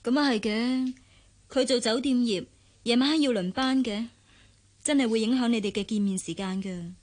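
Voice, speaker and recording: An actress with a Hong Kong Cantonese accent playing an earnest, principled young bride-to-be who speaks candidly in a radio drama. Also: female voice, fairly high pitch, moderate pace, darkish tone, lightly acted. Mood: sisterly. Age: young adult.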